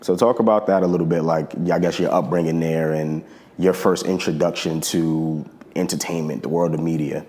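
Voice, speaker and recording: A young man with a very low voice hosts a casual interview. He talks in a relaxed, slangy way and tends to repeat his words as he goes. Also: normal speed. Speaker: interview host.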